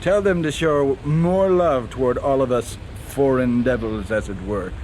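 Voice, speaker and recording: A man's voice: medium pitch, unhurried, a little mellow, a little hiss or hum, close to an everyday voice, rough recording. A man acting in a radio drama as a skeptical, haughty British naval captain, pompous and hesitant when flustered. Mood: irritated, haughty.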